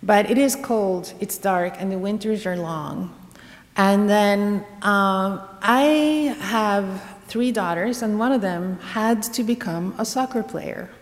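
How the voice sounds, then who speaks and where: A middle-aged woman from Norway giving a gentle devotional talk, telling stories with wry humor. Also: medium pitch, big-room sound. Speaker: guest speaker.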